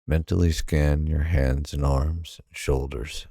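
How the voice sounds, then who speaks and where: A man narrating a guided sleep hypnosis in a calm, soothing, unhurried manner with long pauses. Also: extremely low pitch, no echo, clean studio sound.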